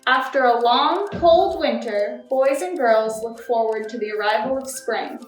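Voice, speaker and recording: Higher-voiced woman reading children's stories, echoey. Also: a little slow. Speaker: library volunteer story time reader. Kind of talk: children's story read-aloud. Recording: roomy echo, distant mic, music behind.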